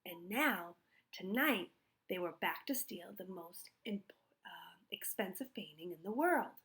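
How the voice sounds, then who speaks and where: A woman reading a children's book aloud in an expressive storytelling style. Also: fairly low pitch. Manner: pauses to put questions to young listeners. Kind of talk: expressive read-aloud narration.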